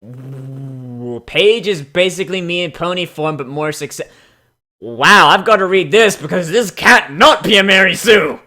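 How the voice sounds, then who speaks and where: A man hosting casual, mocking commentary and dramatic readings, crude, exclamatory and quick to swear. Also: on the high side, lively intonation, sharp tone. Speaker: host reading fan characters aloud.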